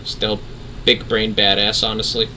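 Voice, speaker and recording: A man's voice: on the low side, monotone, measured pace, bright, forceful tone, a little hiss or hum. A man giving casual, sweary and jokey livestream commentary on a game, often exclaiming. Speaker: livestream host.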